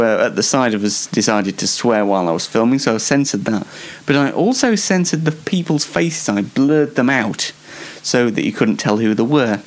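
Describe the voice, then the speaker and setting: A young British man hosting a show on his own, giving a casual, rambling comedic monologue in a self-deprecating way. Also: mid-range voice. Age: young adult. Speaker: solo host of an online talk show.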